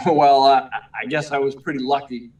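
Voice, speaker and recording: An actor playing a meek, earnest young newspaper reporter in a 1940s-style radio serial, hesitant and polite, recorded at a distance. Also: male voice, mid-range voice, flat delivery, moderate pace, darkish tone, highs cut off, natural voice. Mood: bashful, puzzled. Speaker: actor in a live radio play. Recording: distant, far from the mic.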